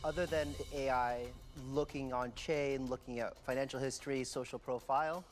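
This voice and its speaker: A man on an investor panel asking measured, probing questions. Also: mid-range voice, steady tone, faint music behind.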